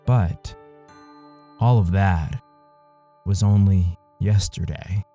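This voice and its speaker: A man narrating a scripted literary audio story in a measured, solemn manner. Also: low-pitched, vocal fry, warm tone, soft background music.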